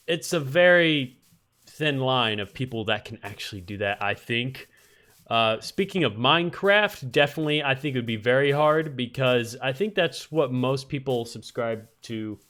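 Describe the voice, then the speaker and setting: A man's voice: mid-range voice, fairly bright tone. Slightly nasal man chatting over gameplay. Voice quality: somewhat nasal voice. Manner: chatty, thinks aloud while playing, frequent ums and restarts. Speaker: Minecraft let's-play host. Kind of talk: casual gameplay commentary.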